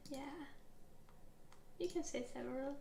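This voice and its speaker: A young woman, a native Finnish speaker, gently interviewing in a soft-spoken ASMR roleplay, unhurried with soft giggles. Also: high-pitched, gravelly, reverberant, a little hiss or hum. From Finland.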